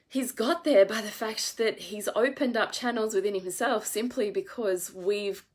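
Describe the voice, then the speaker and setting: Congested woman in an earnest live ramble. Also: medium pitch. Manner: earnest, emphatic, swears for emphasis. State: congested head cold. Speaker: women's coach. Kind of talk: live-stream monologue.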